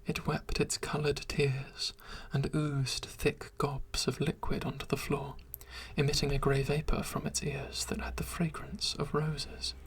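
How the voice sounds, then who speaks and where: A narrator reading a dark story in a soft, steady whisper. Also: mid-range voice, a little slow, hardly any pauses. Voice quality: very breathy.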